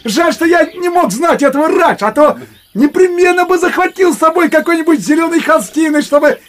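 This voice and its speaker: An actor playing a young travelling cloth merchant in an audio drama, timid and ingratiating, eager to please. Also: male voice, very high-pitched, expressive, brisk. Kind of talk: Russian audio drama acting.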